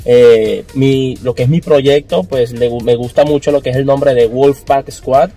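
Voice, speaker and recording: A man's voice: mid-range voice, fairly even intonation, measured pace, dark tone, faint music behind. A young man from Caracas with a Venezuelan Spanish accent, a personal trainer answering interview questions in a car, hesitant, with many fillers. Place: passenger seat of a car.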